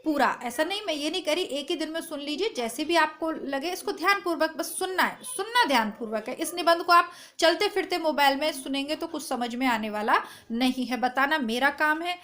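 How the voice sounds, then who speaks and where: A woman teacher with a strained, hoarse voice, chatting to her students through an exam syllabus, recorded at home without a proper mic. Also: high-pitched, brisk, bright, forceful tone. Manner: chatty, reassuring, addresses students directly. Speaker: Hindi exam-prep teacher. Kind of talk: informal lesson to camera. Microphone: no proper mic, phone or device built-in.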